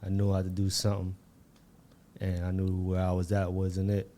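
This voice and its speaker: A man's voice: sits low, monotone, a little fast, mellow. A man from the Bronx, a podcast guest, laid-back with short replies. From Bronx, New York. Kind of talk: casual interview chat.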